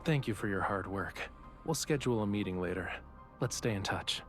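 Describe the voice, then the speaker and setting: A man's voice: on the low side, expressive, normal speed, faint music behind, natural voice. An actor playing a casually indifferent, teasing young male artist in a video game. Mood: polite, businesslike. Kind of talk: English video game voice acting.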